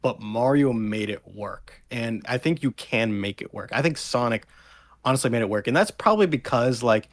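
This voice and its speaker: A man's voice: medium pitch, animated, normal speed. A man co-hosting a podcast in casual discussion, speaking informally with plenty of slang. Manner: informal, slangy, occasional swearing. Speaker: podcast co-host.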